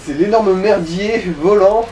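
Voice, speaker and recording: Mellow, slightly high man coaching a gamer. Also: a little slow, soft background music, poor audio. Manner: crude slangy coaching. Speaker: gaming co-commentator giving advice. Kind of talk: casual gaming commentary.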